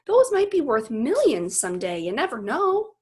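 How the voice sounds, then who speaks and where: A woman's voice: sits high, very animated, moderate pace, a little mellow, breathy edge, pitched above the performer's own voice, heavily acted. A woman voicing a kind, cheerful young girl in a storybook, with a light, friendly manner. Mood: playful caution.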